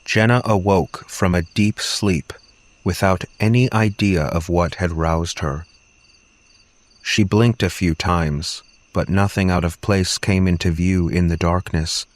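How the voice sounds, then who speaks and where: A man's voice: low voice, no room sound. A man reading scripted fiction as an audiobook narrator.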